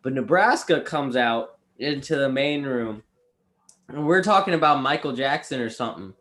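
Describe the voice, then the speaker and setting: A man's voice: mid-range voice, expressive, moderate pace, a bit bright. A man in casual chat, telling a story in a rambling way with many fillers and restarts.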